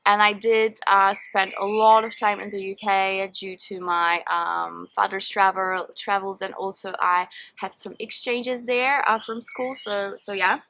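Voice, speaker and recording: Young polish woman, polish first language, chatty q&a vlog outside in a garden, bright voice, faint noise behind. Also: medium pitch, steady tone, measured pace, few pauses. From Poland. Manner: chatty, open, with many fillers. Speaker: vlogger. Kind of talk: casual Q&A chat to camera. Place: garden outdoors.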